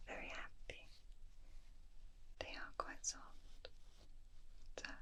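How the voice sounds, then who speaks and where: Woman in a slow, crisp whisper. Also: low-quality recording. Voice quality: breathy unvoiced whisper. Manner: slow, gentle, soothing, attentive to the listener. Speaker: ASMR roleplay host playing a magical creature shopkeeper. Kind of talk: ASMR whispered fantasy roleplay, personal attention. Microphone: close binaural microphone.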